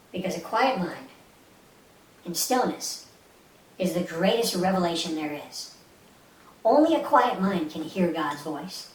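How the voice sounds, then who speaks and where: Female meditation guide, soothing and instructive, slightly low register, recorded off-mic with light hiss. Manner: soothing, instructive, repeats short phrases. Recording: roomy, distant mic with faint hiss.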